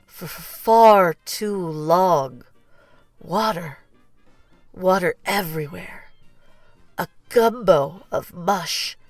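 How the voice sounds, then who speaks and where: Woman, children's read-aloud, slow expressive narration, close dry sound, storybook reader. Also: on the low side, no echo, faint music behind.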